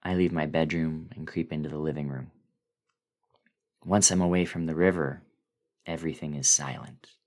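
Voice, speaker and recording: A man giving a dramatic, suspenseful first-person reading of a horror story, with theatrical pauses. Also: extremely low pitch, fairly even intonation, darkish tone. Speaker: guest reader of a horror story.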